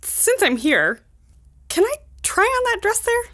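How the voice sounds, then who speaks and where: A woman's voice: very high voice, very animated, a little slow, a bit bright, full character voice. A woman playing a sly, amused detective in a radio comedy.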